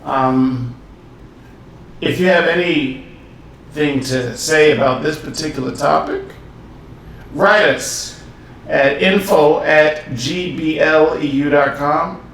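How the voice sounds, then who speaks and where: A man hosting a podcast in casual chat, talking in a laid-back, playful, drawn-out way from a home studio room. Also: a little high, fairly bright tone. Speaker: podcast host. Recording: echoey room from far off with faint hiss.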